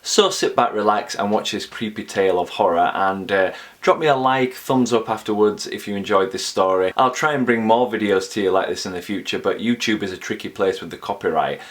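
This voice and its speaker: Male horror channel host, low voice, casual introduction style. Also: fairly quick, hardly any pauses.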